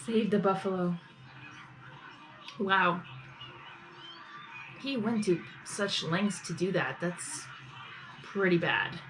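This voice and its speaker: A woman's voice: on the low side, slow pace, pauses often, fairly bright tone, poor audio. A woman giving casual live reaction commentary as host of a reaction video.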